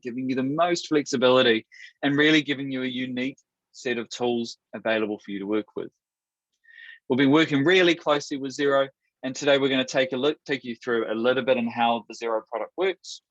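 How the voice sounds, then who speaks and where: A man from New Zealand with a Kiwi accent, hosting a product webinar in an upbeat, steady presenter manner with a few restarts. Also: medium pitch, no room sound. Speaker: webinar host. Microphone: video call. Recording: dull dry webinar audio.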